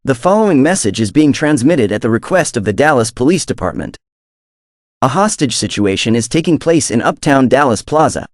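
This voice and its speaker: A man's voice: mid-range voice, expressive, fairly quick, no room sound. A synthetic man's voice reading a formal, impersonal emergency broadcast announcement.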